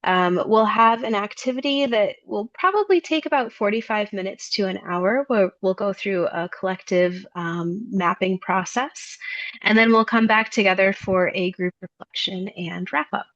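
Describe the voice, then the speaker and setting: A woman's voice: mid-range voice, moderate pace, fairly bright tone, no room sound. Woman in her 30s hosting a workshop on a video call, lots of ums, dull dry sound. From Maine, United States. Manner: friendly, with frequent ums and uhs. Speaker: design lecturer and workshop facilitator.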